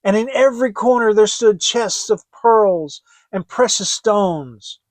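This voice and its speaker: Slow, high-pitched man narrating dramatically. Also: no room sound. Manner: theatrical, expressive. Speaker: storyteller, audiobook reader. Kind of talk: dramatic scripted fairy tale narration.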